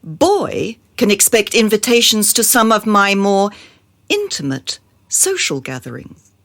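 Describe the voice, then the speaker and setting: A woman's voice: mid-range voice, big pitch swings, a little slow, fairly bright tone. A woman playing a haughty, callous society madam in a satirical radio drama, theatrical and imperious in manner. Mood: grand.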